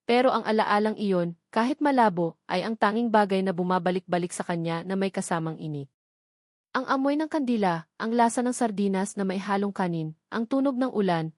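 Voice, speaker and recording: A woman's voice: medium pitch, a little mellow, no room sound, clean studio sound. A woman with a Filipino accent reading a scripted romance story as a dramatic narrator.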